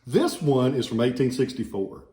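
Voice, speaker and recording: A man's voice: medium pitch, lively intonation, normal speed, mellow. Folksy Southern-accented man in echoey room. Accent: slight Southern American. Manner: rambling, folksy, joking asides. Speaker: relic dealer showing ballots. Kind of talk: casual informational video.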